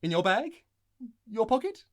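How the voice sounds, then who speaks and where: Female actor as blustering, theatrical mayor in a family audio comedy; easily flustered, very animated pitch. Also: moderate pace. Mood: pompous, flustered. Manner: blustering, melodramatic, hesitant pomp. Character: flustered, pompous, theatrical male town mayor. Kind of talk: comic family audio drama acting.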